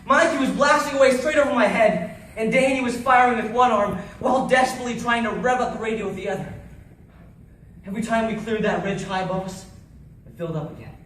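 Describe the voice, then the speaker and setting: A man's voice: very high voice, fast talker. A man acting a haunted Navy SEAL survivor in an intense, theatrical first-person account of a deadly battle. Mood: urgent, anguished. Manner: theatrical, intense, swinging from hushed to shouting. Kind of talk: dramatic first-person monologue. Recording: very echoey, distant, faint noise.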